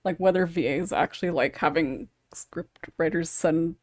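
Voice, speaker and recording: A woman's voice: sits low, moderate pace, darkish tone, no echo, studio quality. A woman hosting a casual podcast chat, giggly and a bit hesitant, rambling off into asides. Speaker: podcast host and script writer.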